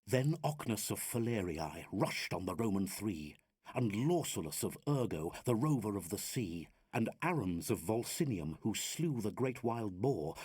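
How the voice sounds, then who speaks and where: A man reciting a narrative poem dramatically, in a measured, theatrical, declamatory way of storytelling. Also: fairly low pitch, darkish tone, husky, dry recording, studio quality. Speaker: reader of narrative poetry.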